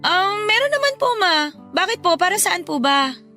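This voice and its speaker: A woman's voice: very high voice, very animated, normal speed, pushed and bright, faint music behind, full character voice. A woman playing a dutiful, caring young breadwinner daughter in a Tagalog radio drama. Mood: concerned.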